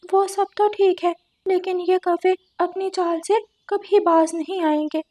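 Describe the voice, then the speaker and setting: A woman acts a careful parrot wife in a children's cartoon. Her voice is very high, and she speaks warily, gently admonishing with clear distrust. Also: fairly even intonation, a little slow. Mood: wary. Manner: wary, admonishing. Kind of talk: Hindi children's cartoon dialogue.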